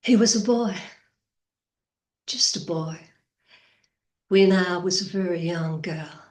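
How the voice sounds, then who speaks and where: Fragile, haunted Southern woman, acted by a woman, theatrical monologue. Slow, halting, whispery delivery, echoing room. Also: fairly low pitch, darkish tone. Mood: wistful, dreamy. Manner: halting, breathy, drifting into whispers. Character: fragile, haunted Southern woman recalling doomed young love. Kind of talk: dramatic stage monologue. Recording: echoey room.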